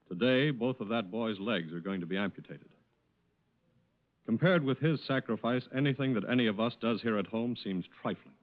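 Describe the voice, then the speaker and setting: An American man announcing from a script as a 1940s radio sponsor's spokesman, formal and emphatic. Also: medium pitch. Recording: dull old radio broadcast with music. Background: music.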